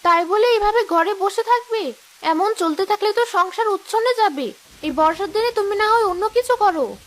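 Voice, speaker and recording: A woman voicing an exasperated young wife and mother in a cartoon story, with a sharp-tongued way of talking. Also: extremely high pitch, expressive, normal speed. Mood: nagging, annoyed.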